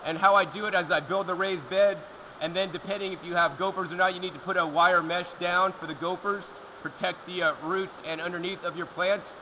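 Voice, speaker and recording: A young man hosting a gardening show, answering viewer questions to camera outdoors in a rock alcove, energetic and gesturing as he talks. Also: high-pitched, brisk, lo-fi sound, slight background noise. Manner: energetic, talks with his hands.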